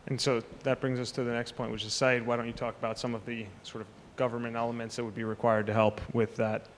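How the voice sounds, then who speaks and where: A man's voice: medium pitch, level tone, quick pace. A man moderating a conference panel, asking long, loosely built questions. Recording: echoey hall with faint noise.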